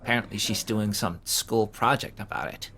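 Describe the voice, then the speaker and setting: A man's voice: fairly low pitch, moderate pace, sharp tone. A man playing an awkward, hapless young man in an audio drama, talking in a hesitant, sheepish way full of fillers. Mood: glum, resigned. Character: awkward, hapless young man who keeps getting fired. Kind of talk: conversational audio drama acting.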